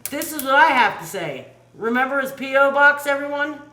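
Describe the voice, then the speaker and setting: A woman's voice: sits high, lively intonation, moderate pace, bright, forceful tone. A woman chatting casually as the host's partner, recorded in a roomy space. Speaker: host's partner, off-the-cuff helper. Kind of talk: casual chat. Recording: roomy, faint background noise.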